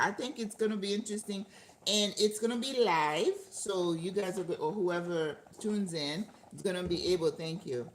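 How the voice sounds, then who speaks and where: Older woman, low voice, chatty cooking host, roomy kitchen echo. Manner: chatty, talks to viewers and laughs often. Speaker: channel host cooking live. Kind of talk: casual chat while cooking. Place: home kitchen. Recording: echoey kitchen with faint background noise.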